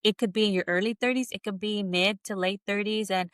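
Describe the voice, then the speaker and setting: A woman's voice: medium pitch, fairly even intonation, brisk, fairly bright tone, clean tone, no room sound, clean studio sound. A woman in her thirties hosting a casual coaching interview in a conversational style. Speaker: podcast host and coach.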